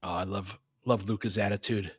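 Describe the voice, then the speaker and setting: A man's voice: fairly low pitch, fairly even intonation, brisk, slightly rough, dry recording. An American man calling basketball play-by-play in an informal, conversational, opinionated way, mixed with casual fan chat. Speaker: play-by-play host of a fan stream.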